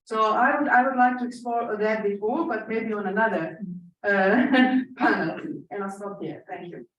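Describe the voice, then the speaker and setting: A woman from Croatia, speaking as a conference panelist and pausing often on fillers and restarts. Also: medium pitch, highs cut off. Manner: hesitant, many fillers and restarts. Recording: echoey room, distant mic, faint noise.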